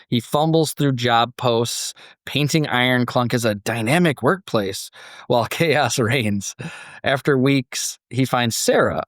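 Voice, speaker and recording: A man's voice: mid-range voice, no echo, clean studio sound. A man hosting a podcast, moving between dramatic story narration and casual discussion.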